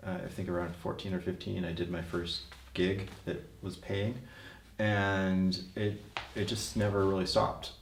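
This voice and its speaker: A man from Oregon who works as a freelance musician, talking in an interview with many pauses and fillers. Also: low voice, level tone, a little hiss or hum. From Portland, Oregon, USA. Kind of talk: interview talk. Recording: echoey room.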